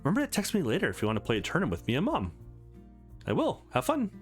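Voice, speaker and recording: A man's voice: on the high side, huge pitch swings, racing, voice pushed up. A man voicing playful, competitive young women from a visual novel in a teasing, sing-song way, with music behind. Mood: friendly.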